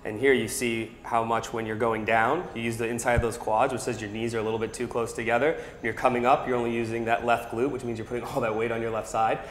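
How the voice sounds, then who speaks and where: A man in his thirties demonstrating a fitness app, explaining clearly like a coach, in a large indoor gym. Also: mid-range voice, level tone, fast, few pauses, slight background noise. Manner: fluent, instructive, coach-like. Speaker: startup product specialist. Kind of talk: product demo explanation. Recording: echoey gym room.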